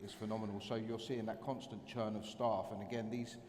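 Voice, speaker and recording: A man speaking on a conference panel, halting and repeating words as he builds his point. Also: lowish voice, level tone, a little mellow. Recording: echoey conference hall with faint noise.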